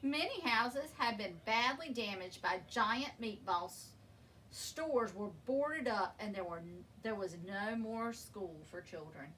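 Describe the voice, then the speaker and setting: A woman reading a children's picture book aloud in an expressive, deliberate storytelling manner, leaning on key words. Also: mid-range voice. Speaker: story reader. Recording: distant microphone with background noise.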